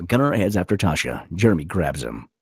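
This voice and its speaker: A man reads screenplay stage directions in a low, rough-edged voice. His intonation moves around in an animated way as he narrates each scene. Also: a little fast, no room sound, studio quality.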